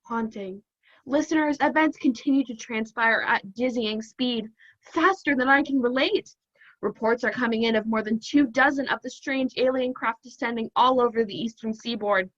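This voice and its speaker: A woman's voice: a little high, normal speed, a bit bright. A teenage woman playing a solemn, overdramatic radio news anchor, announcing formally over a video call. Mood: grave, urgent. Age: teens. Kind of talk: mock radio news bulletin. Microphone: computer microphone on video call. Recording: dull, muffled video-call audio.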